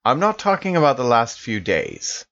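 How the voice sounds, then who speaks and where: A man's voice: medium pitch, huge pitch swings, moderate pace, a bit bright. A man playing a tabletop role-playing game, speaking in character, on a dull lo-fi recording.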